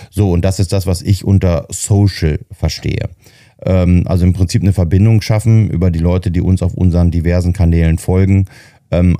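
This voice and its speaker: A man in his fifties, a marketing head guesting on a podcast, speaking in a thoughtful, halting way with many fillers. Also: low voice, warm tone, no room sound, studio quality. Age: 50s. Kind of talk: casual podcast talk.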